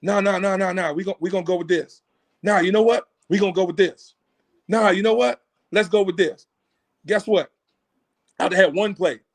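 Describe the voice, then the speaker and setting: An animated man talking football as a coach, with a high-pitched voice. He breaks down plays in casual speech and jumps into lively sideline-style voices. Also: moderate pace, pauses often. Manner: animated, acts out coaches' talk. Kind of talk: casual sports analysis.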